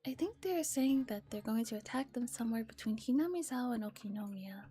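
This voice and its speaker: A woman streamer softly reading a visual novel aloud in a relaxed ASMR style and chatting casually with her viewers. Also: on the high side, a little fast. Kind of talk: soft ASMR reading with casual chat and commentary. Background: music.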